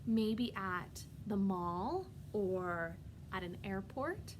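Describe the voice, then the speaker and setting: A woman reading a storybook to young children in an expressive, animated way, asking them simple questions as she goes. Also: medium pitch, extremely slow, noisy background. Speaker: preschool teacher. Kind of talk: expressive children's read-aloud with questions to listeners.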